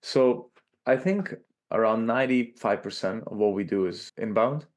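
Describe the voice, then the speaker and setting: A man with a Serbian accent, a podcast guest talking in a loose, animated way and tagging lines with "right?". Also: fairly low pitch, moderate pace. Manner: animated, peppers speech with "like" and "right?". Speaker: podcast guest, tech company chief. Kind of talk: casual interview talk.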